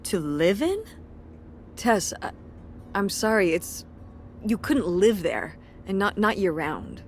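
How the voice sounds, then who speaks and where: A woman's voice: mid-range voice, sing-song, slow pace. A woman playing a weary, exasperated middle-aged mother in a video game, speaking naturalistically and defensively, with some hesitation. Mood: apologetic, exasperated. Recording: clear background ambience noise.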